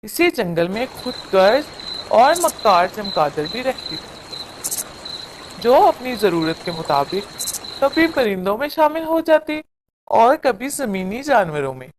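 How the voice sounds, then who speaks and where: A woman narrating a scripted moral story for children in a measured, expressive storytelling manner. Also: a little high, background noise.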